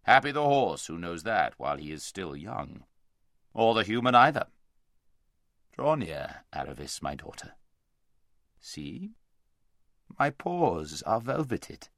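A man voicing a great male lion in a storybook reading, grave, gentle and commanding, speaking slowly and deliberately with weight. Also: fairly low pitch, big pitch swings, creaky, no room sound, clean studio sound, full character voice.

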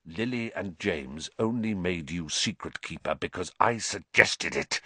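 Man doing a bitter wizard, low growling voice, venomous, lively swings, dry. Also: a little slow, breathy edge, no echo, full character voice. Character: bitter, vengeful male wizard, former prisoner.

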